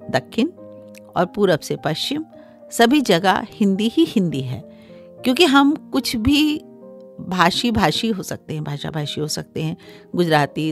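A middle-aged woman speaks as a scholarly radio guest at a medium pitch. She explains things formally, pausing between phrases, close to a studio mic with music underneath. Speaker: interview guest, Hindi scholar. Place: small acoustically treated radio studio. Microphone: close studio microphone on a desk stand.

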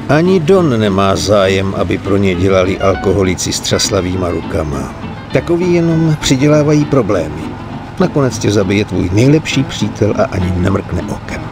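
Senior mobster mentor, low voice, expressive delivery, stern game drama counsel. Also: male voice. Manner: measured, admonishing. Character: older, fatherly mafia adviser, measured and stern. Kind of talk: dramatic game cutscene dialogue. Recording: prominent music under the voice.

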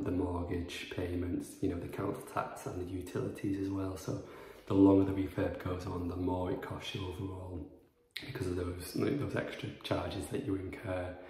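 Guy in his 20s rambling through a reno vlog, lots of ums and likes, deep-ish voice, phone mic, bare echoey rooms. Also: little pitch movement, normal speed, hardly any pauses, warm tone. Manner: rambling, chatty, many "like" and "um" fillers. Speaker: property renovation vlogger. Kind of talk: casual house-tour vlog. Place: empty house under renovation, indoors. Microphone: phone camera, handheld then propped up.

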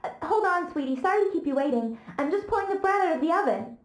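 A woman's voice: very high voice, animated, fast talker, reverberant. A woman playing a sweet, doting wife in a game, with a chirpy, affectionate manner. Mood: sweet, cheerful. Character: doting, sweet middle-class wife at home.

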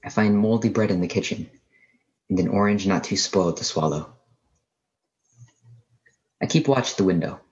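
Man acting a low-voiced, haunted professor, last survivor. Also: darkish tone, lo-fi sound, lightly acted. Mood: weary, grim. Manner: halting, brooding, literary delivery. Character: haunted male astronomy professor, lone survivor of alien invasion. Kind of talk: dramatic first-person radio play narration.

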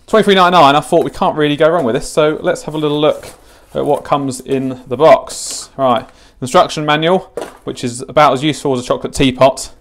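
A man's voice: on the high side, fairly even intonation, fairly quick, hardly any pauses. A British man in his twenties with a chatty, informal, matter-of-fact way of talking, hosting a casual product unboxing and tutorial. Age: 20s. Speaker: fishing channel host demonstrating gear. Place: home kitchen, indoors.

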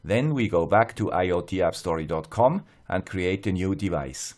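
A man with a Swiss accent narrating a scripted tutorial in a measured, plain-spoken, step-by-step way. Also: deep voice, lively intonation, a little mellow. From Switzerland. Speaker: host of an electronics tutorial channel.

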